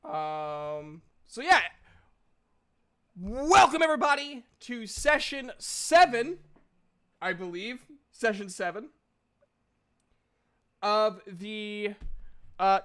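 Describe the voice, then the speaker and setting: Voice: mid-range voice, animated, slow, pauses often, a bit bright, clean tone. A game master hosting a livestream, chatting casually and recapping a tabletop game in an informal, sweary way. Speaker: game master and stream host.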